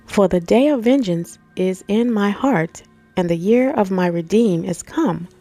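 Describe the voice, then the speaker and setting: Stuffy-nosed woman teaching scripture, mellow. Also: mid-range voice, expressive, measured pace, no echo, faint music behind. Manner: conversational, addresses viewers as family and guys. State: stuffy nose from allergies. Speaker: Bible teacher and channel host. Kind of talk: scripture reading and informal Bible teaching.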